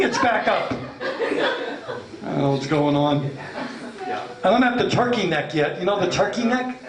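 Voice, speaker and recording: A man in his late fifties with a New York accent doing a stand-up comedy set, brash and self-mocking, swearing freely and acting out the voices he quotes. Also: sits high. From Long Island, New York. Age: 50s. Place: comedy club stage before a laughing audience. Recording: echoey club room, loud background noise.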